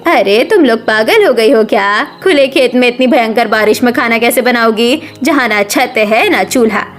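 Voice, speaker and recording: A woman's voice: a little high, expressive, normal speed, faint music behind, lightly acted. A woman voicing a fussing, bossy village mother-in-law with grown sons in a cartoon story. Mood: scolding. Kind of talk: Hindi cartoon story dialogue.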